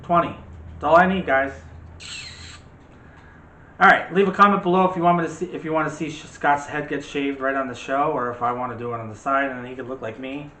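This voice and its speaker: A man's voice: medium pitch, fairly even intonation, a little fast, sharp tone. A man hosting a casual movie discussion with playful, teasing banter. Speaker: host of a movie channel. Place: indoors in an echoey room. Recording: echoey room with faint background noise.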